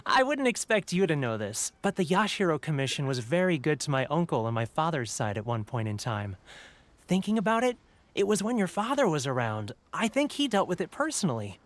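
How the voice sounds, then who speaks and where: A lively, swooping male voice for an obliging game stall keeper. He is cheerful and deferential, and there is faint noise behind him. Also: a little high, moderate pace. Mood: cheerful, welcoming. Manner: chirpy, deferential, eager to please. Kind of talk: video game voice acting.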